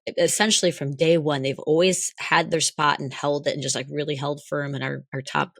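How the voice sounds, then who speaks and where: Hoarse low-voiced woman podcaster. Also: fairly even intonation, a little fast, dry recording, clean studio sound. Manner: chatty, laughs often, rambling restarts. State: hoarse, recovering lost voice. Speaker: podcast co-host. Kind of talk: casual chat.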